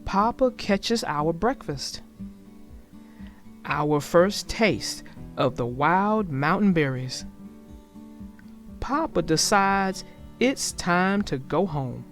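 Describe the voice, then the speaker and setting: A woman narrates a children's story in a very animated voice. She takes her time, phrasing things dramatically as she speaks to her listeners. Soft music plays behind her. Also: on the low side. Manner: deliberate, dramatic phrasing, addresses listeners directly. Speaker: read-aloud storyteller.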